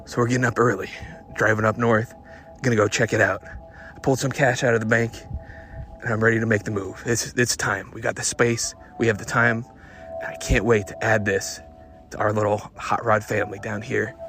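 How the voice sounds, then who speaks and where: Halting man musing in an audio diary. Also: fairly low pitch, flat delivery, fairly bright tone, soft background music. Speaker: hot rod hobbyist and host.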